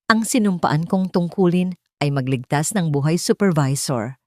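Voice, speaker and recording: A woman voicing a principled young female nurse who speaks firmly, her voice trembling but steady. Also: deep voice, moderate pace, no echo. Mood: earnest. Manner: firm but trembling, earnest.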